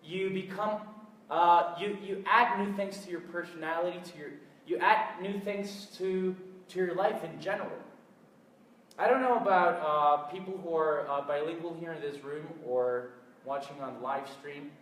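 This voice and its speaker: A man's voice: a little high, normal speed, a bit bright. Earnest young man talking in an echoey room. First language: Spanish. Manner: earnest, hesitant with frequent fillers. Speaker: event speaker. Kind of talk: public talk to an audience. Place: event room with live audience. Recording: echoey room, mic at a distance.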